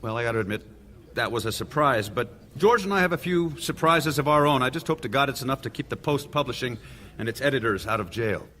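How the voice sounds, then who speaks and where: A man's voice: medium pitch, animated, fairly quick, pushed and bright. An actor playing a determined male defense lawyer, questioning a witness pointedly in a live stage radio drama. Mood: worried. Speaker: defense attorney. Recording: live stage recording with long reverb.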